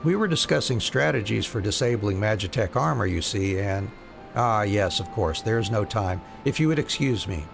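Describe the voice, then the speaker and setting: A man's voice: lowish voice, expressive, normal speed, vocal fry, music in the background. A man voicing a bookish, absent-minded young rebel recruit in a fantasy game, wordy and apologetic. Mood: flustered, polite. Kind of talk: reading fantasy game dialogue aloud.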